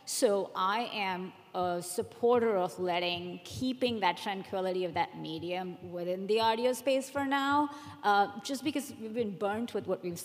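A woman on a conference panel giving her opinion in an emphatic, conversational way. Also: mid-range voice. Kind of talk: panel discussion, personal opinion with examples. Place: conference hall, live panel. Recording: echoey hall with long reverb.

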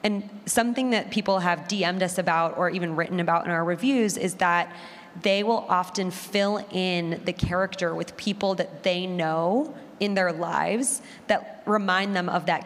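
A woman's voice: on the low side, a bit bright. A woman speaking as a panelist, explaining her work in a discussion. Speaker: panelist, audio drama maker. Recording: echoey hall, distant room sound.

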